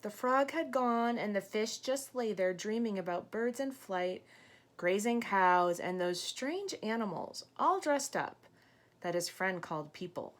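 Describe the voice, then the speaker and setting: A woman teacher reading a picture book aloud expressively, pausing to ask young listeners questions and explain things. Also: mid-range voice, animated.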